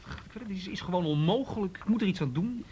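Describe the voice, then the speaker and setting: An actor in a radio play playing an apologetic junior colleague, halting and pleading as he repeats himself. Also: lively intonation, mellow. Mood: defensive, pleading. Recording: faint noise and low rumble.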